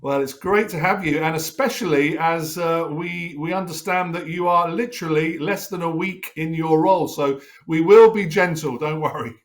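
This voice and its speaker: A man's voice: on the high side, normal speed, hardly any pauses. A middle-aged man hosting a business interview, friendly and conversational with some restarts. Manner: friendly, scripted intro, then conversational with restarts. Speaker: host.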